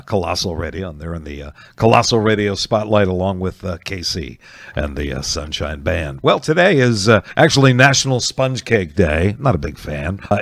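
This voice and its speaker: A man hosting an oldies radio show, chatting casually and folksily between songs with frequent pauses. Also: lowish voice, gravelly. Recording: music playing under the voice.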